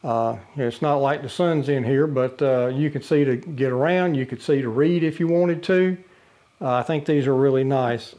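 A man's voice: mid-range voice, moderate pace, warm tone. An older man casually demonstrating a camping lantern in his living room, talking plainly with frequent uhs and restarts. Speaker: product reviewer. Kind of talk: casual product demo. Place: living room with a brick wall, indoors.

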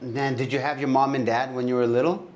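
A man's voice: medium pitch, little pitch movement, a little fast, a little mellow, slight background noise. A man conducting a casual interview, asking short questions and echoing answers back.

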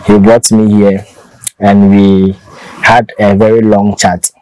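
A man's voice: lowish voice, little pitch movement, takes it slowly, a little mellow, clear, resonant tone, no room sound, poor audio. A man in his thirties from Ghana, a farmer telling his story in a casual interview, easygoing and restarting often, recorded outdoors under a thatched shelter on a lapel mic.

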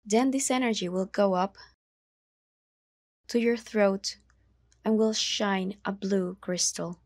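Female meditation narrator, mid-pitched, very measured and soothing, slow delivery with room to breathe. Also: darkish tone, dry recording, studio quality. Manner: soothing, slow, measured instructions with long pauses. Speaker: meditation guide.

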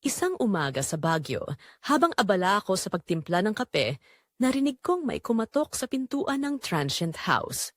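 Young Filipino female narrator for dramatic romance story; emotive, reflective, lively pitch movement, close dry recording. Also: normal speed, no room sound. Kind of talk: dramatic scripted first-person romance narration.

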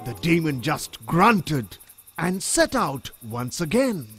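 A man's voice: a little high, sing-song, slow pace, fairly bright tone, faint music behind. A man narrating a scripted children's story in a dramatic storytelling manner.

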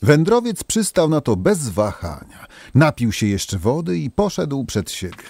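A man narrating a folk tale as a storyteller, in an expressive, theatrical manner. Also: medium pitch, a little slow, vocal fry, slight background noise.

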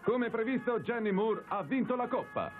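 An actor playing an excited television sports announcer giving commentary in a cartoon dub. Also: male voice, very high-pitched, normal speed, soft background music. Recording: thin, phone-like broadcast sound.

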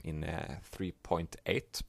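A man whose first language is Swedish, explaining a software task step by step in a plain, unhurried way. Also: very deep voice, level tone, gravelly, husky, no room sound. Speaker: software tutorial maker. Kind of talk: screen-recorded software tutorial.

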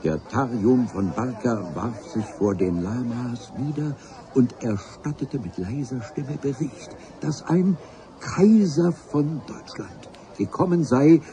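An actor playing the wry, literate narrator of an adventure radio play, telling it in an ornate, deliberate way. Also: male voice, mid-range voice, lively intonation, crowd noise. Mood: dramatic storytelling.

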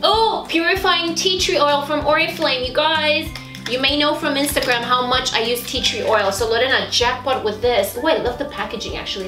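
A bubbly woman gushes over beauty products in a home vlog. Her voice sits fairly high and carries a roomy echo. A music bed plays underneath. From Romania. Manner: bubbly and gushing, with squeals. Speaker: beauty vlogger. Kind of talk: unboxing haul vlog. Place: small bright indoor home studio.

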